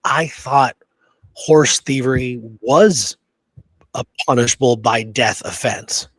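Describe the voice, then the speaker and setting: A man's voice: medium pitch, takes it slowly, fairly bright tone, breathy edge, low-quality recording. A man co-hosting a history podcast in a casual, conversational way, often checking that his listener follows. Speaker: podcast co-host.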